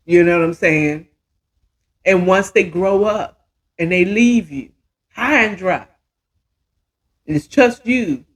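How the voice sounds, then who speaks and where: A woman in her fifties telling a story casually as a podcast host, chatty and emphatic, often checking in with the listener. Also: lowish voice. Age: 50s.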